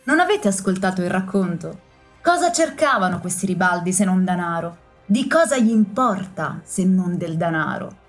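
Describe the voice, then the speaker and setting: A woman reading an audiobook, voicing a hot-tempered, boastful gentleman who exclaims loudly. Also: lowish voice, animated, moderate pace, faint music behind. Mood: indignant. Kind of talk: audiobook character voice.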